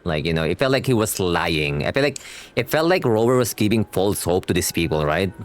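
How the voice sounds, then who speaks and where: A man's voice: low voice, sing-song, fairly quick. A young man giving casual, slangy reaction commentary as a game streamer, rambling and rephrasing as he goes.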